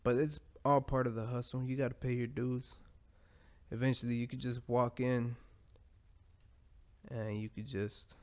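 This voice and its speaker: A man's voice: medium pitch, level tone, measured pace, long pauses, a little mellow. A man hosting a podcast, talking in a loose, rambling monologue full of profanity and asides. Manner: rambling, profane, laid-back. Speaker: podcast host.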